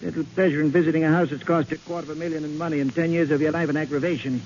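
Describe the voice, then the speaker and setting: A man plays an irritable, self-important rich gentleman in an old radio drama. He grumbles and blusters in a somewhat high voice. The recording is muffled with faint noise. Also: steady tone, rapid-fire, close to an everyday voice. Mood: irritated. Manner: grumbling, pompous. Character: irritable, blustering wealthy male owner of decaying mansion. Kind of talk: 1940s radio acting.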